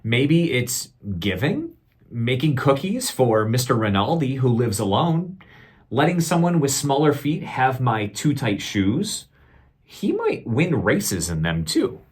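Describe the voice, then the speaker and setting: Man, teacher reading aloud, children's storytime, very animated pitch, husky edge, instructive. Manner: expressive, deliberate, instructive. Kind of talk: storytime read-aloud with questions to listeners.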